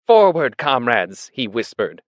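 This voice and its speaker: A man's voice: mid-range voice, very animated, measured pace, no room sound, dull audio, voice pushed up. A man voicing an oily male pig propagandist in a storybook, pompous, melodramatic and insincere. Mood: tearful, reverent.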